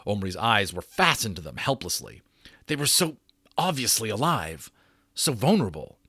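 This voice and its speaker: A man narrates a children's novel with a fairly low voice that swings widely in pitch. He leans into theatrical pauses, and the recording is dry and close. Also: very animated, sharp tone, slightly rough, no room sound. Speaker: storyteller. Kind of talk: dramatic audiobook reading.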